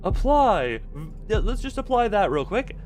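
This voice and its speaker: A man's voice: high voice, sing-song, measured pace. A man chatting casually and goofily as a game streamer, rambling and often interrupting himself. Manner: goofy, rambling, self-interrupting. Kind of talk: casual livestream chat while setting up a game.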